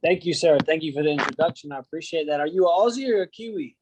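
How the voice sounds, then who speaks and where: A man's voice: fairly high pitch, lively intonation, fast talker, noisy background. A man who coaches wrestling, chatting in an easygoing, joking way as an interview guest. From United States. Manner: easygoing, joking, uses slang. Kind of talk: casual interview.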